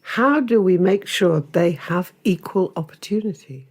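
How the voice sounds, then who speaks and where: A woman hosting a podcast, asking calm, unhurried questions. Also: lowish voice, husky. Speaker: host. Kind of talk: podcast interviewing.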